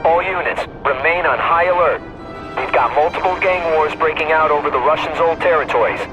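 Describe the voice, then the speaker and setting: Male actor as brisk game cop reporting, official, higher-pitched, music behind. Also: lo-fi sound, natural voice. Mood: alert. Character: matter-of-fact male police officer on radio.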